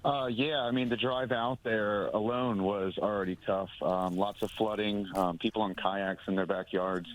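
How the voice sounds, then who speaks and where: A man, a moving company owner, speaking on a phone interview, halting with many ums and restarts. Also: on the low side, steady tone. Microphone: phone line. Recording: phone line audio.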